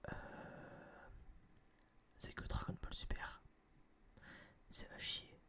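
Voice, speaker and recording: A person whispering a casual, rambling ASMR film review in slangy, hesitant phrases, often repeating words, close to a binaural microphone. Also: very slow. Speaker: ASMR video creator.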